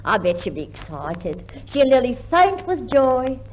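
An actress playing a fluttery, gushing, sweetly eager sister in a 1950s radio comedy. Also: female voice, high-pitched, sing-song, measured pace, darkish tone, muffled recording. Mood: excited. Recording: noisy vintage radio recording.

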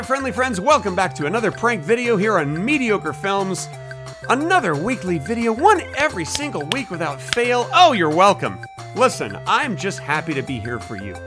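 A middle-aged man with an American accent hosting a video, talking casually to camera with a sponsor read, into a desk mic at home. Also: high voice. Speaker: video host. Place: small home room, indoors. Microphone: desk microphone close in front.

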